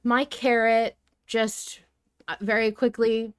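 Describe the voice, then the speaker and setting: Clear-voiced woman in emphatic casual chat. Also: on the high side, flat delivery, slow, dry recording, clean studio sound. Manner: emphatic, opinionated, casually sweary. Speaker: podcast co-host. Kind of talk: casual fan discussion chat.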